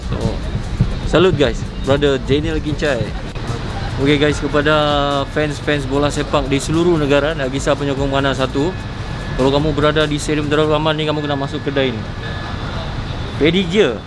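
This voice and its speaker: High-pitched Malaysian man hosting a street vlog. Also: little pitch movement, normal speed, poor audio. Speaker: vlog host interviewing football fans. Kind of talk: casual street interview chat. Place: outdoors around a football stadium. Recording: loud music over outdoor street audio. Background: music, traffic and outdoor bustle.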